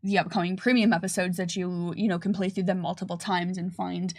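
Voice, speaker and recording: A woman's voice: lowish voice, fairly even intonation, a little fast, darkish tone. A woman answering questions conversationally on a livestream, explaining at length with frequent hesitations and restarts. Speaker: game developer presenting on a livestream. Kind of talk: unscripted livestream Q&A.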